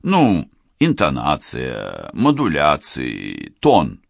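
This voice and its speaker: Sardonic middle-aged criminalist, deep and dry, measured delivery, read by a man. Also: wildly swooping pitch, vocal fry, dry recording, heavily acted.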